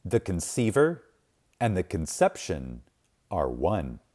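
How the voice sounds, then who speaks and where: A man reading a spiritual book aloud in a solemn, reverent manner, with deliberate pauses and emphasis. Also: deep voice, wildly swooping pitch, dark tone. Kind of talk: reverent scripted reading, audiobook-like.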